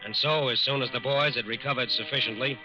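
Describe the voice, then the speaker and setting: Male vintage radio crime narrator, dramatic and piercingly bright, on a muffled old recording. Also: mid-range voice, fairly even intonation, fast talker. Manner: dramatic, emphatic, authoritative. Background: music.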